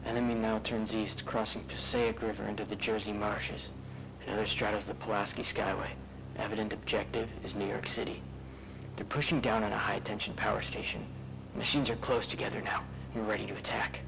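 A man's voice: lowish voice, creaky. A man playing a military bomber pilot giving clipped reports in a radio play. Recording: aircraft engine noise behind.

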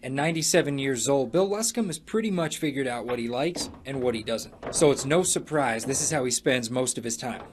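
American man, mid-pitched, TV reporter narration, slight background noise. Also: lively intonation, fairly quick, hardly any pauses. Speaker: TV news reporter. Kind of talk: scripted news narration.

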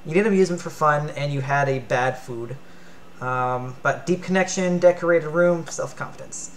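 A young woman with a British Columbian accent, co-hosting a live game stream, chatting in an excitable, lively way. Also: deep voice, normal speed, slight background noise. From Canada. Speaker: let's play co-host. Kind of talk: casual gameplay chat. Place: small room at home.

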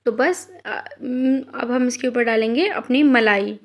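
A woman's voice: a little high, measured pace. A woman hosting a home cooking channel, talking viewers through a recipe step by step and speaking to them directly. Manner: explains each step, addresses viewers directly.

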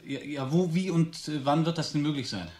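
A man's voice: a little high, moderate pace, darkish tone, close to an everyday voice. An actor playing an eager, deferential research assistant in a radio drama, hesitant and stammering as he speaks. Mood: polite, businesslike.

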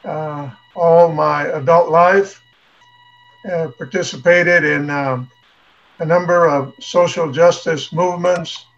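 This man, a bishop, has a slightly high voice and speaks very slowly, pausing often and filling the gaps. His voice reaches us through muffled, lo-fi video call audio. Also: a bit bright. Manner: slow, hesitant, many fillers. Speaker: bishop, panelist. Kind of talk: video call panel introduction. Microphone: video call webcam.

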